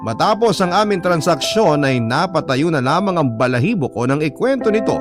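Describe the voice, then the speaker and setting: A Filipino man playing a shop owner, narrating his own remorseful story for a radio drama in a measured, confessional way. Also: on the high side, close to an everyday voice. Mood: reflective. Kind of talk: first-person dramatised narration for radio programme. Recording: loud music bed behind voice.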